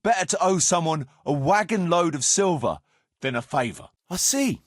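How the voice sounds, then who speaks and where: A man's voice: a little high, expressive, normal speed, no echo, studio quality. A man playing a roguish, world-weary medieval mercenary captain in a video game, firm but fond, speaking bluntly and colloquially. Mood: irritated, blunt. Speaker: game character, band leader. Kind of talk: video game dialogue acting.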